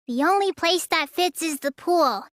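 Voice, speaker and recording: A woman's voice: extremely high pitch, expressive, normal speed, fairly bright tone, no room sound, clean studio sound. A woman voicing a sulky, lazy teenage girl in a video game, with a pouty drawl that stammers when flustered. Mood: flat, explaining. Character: sulky, lazy teenage girl who claims real magic. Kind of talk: English video game voice acting.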